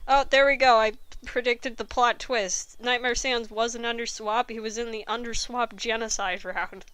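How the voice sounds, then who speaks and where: A woman's voice: fairly high pitch, fairly even intonation, moderate pace, fairly bright tone, smooth, no echo. A woman giving casual, mocking commentary, sarcastic and exclaiming, slipping into joking little skits. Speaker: co-host commenting on fan fiction.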